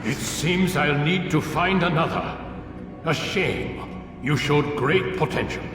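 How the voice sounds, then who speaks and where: An actor playing a stern, cryptic old master of assassins in a video game, measured, commanding and condescending. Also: male voice, on the high side. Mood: stern, threatening. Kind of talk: dramatic video game acting. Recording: distant, cavernous echo, music behind.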